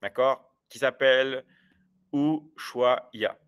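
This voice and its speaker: A man's voice: mid-range voice, very slow, a bit bright, clear, resonant tone. A man in his forties hosting a casual livestream, chatting loosely with viewers and rambling with many hesitations and restarts. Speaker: livestream host.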